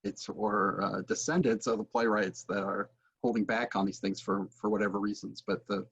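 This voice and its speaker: A man's voice: lowish voice, fairly even intonation, moderate pace, a bit bright, husky. A man in his forties hosting a casual interview over a video call from his home, picked up by a computer microphone. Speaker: host, interviewer. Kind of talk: casual moderating talk. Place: book-lined home study, video call. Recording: dull, lo-fi video call audio.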